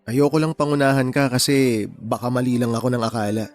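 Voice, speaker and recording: An actor playing a brainy, introverted, considerate young man in a radio drama, speaking in a measured, gentle way. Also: mid-range voice, steady tone, faint music behind, lightly acted. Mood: awkward, apologetic.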